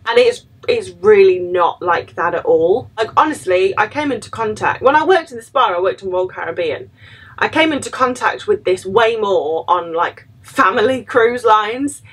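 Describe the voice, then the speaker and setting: A woman's voice: medium pitch, expressive, normal speed, husky, rough recording. A woman in her thirties, a former cruise ship worker, casually telling stories to camera in a chatty way, full of "like" and quoted asides, from a small room at home.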